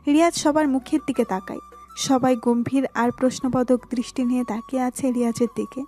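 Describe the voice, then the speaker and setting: High-voiced woman narrating over music. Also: steady tone, fairly quick, warm tone. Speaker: story narrator. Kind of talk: scripted story narration.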